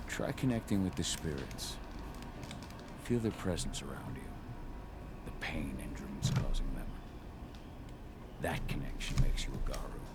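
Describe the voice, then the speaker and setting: An actor playing a gruff, protective werewolf husband and father in a video game cutscene, brooding and earnest. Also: very deep voice, animated, unhurried, long pauses, very noisy, heavily acted.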